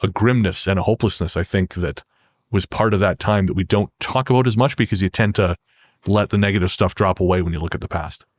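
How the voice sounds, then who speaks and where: Lowish-voiced, reflective Canadian man. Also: quick pace, no room sound, clean studio sound. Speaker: podcast guest, horror fiction creator. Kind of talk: conversational interview talk.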